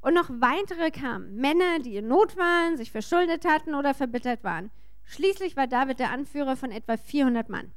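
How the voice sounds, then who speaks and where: High voiced woman translating a sermon bit by bit, colloquial, a little halting. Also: expressive. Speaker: sermon interpreter. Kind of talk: consecutive interpreting of a sermon.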